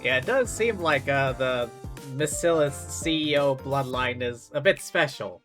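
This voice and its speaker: A man's voice: medium pitch, measured pace, a bit bright, faint music behind. A man live streaming a game, commenting casually and reading narration aloud in a wry, offhand way.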